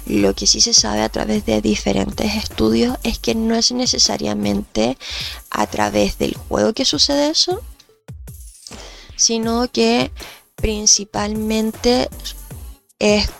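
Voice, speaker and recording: A woman's voice: on the high side, steady tone, slow, gravelly, faint music behind. A woman hosting a podcast, sounding nasal from allergies and explaining thoughtfully with fillers and restarts. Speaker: podcast host and researcher.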